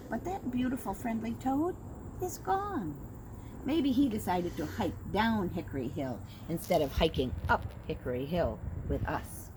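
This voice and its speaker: A middle-aged woman, a teacher, reading a children's storybook aloud at home with a gentle, expressive delivery, slowing down for young listeners. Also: lowish voice. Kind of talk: storybook read-aloud for kids. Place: at home with her dog nearby. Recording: home recording with clear background noise.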